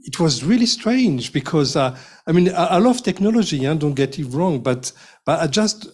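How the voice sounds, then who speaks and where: A man's voice: a little high, expressive, normal speed. A man speaking on a panel, hesitant and laughing at himself as he talks. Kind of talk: casual panel chat.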